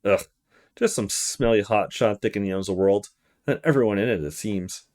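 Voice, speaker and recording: A man's voice: lowish voice, moderate pace, a little mellow, voice pushed down, lightly acted. A man voicing a feisty young female university student in a visual novel, blunt and sassy. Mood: annoyed. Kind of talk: visual novel character read-aloud.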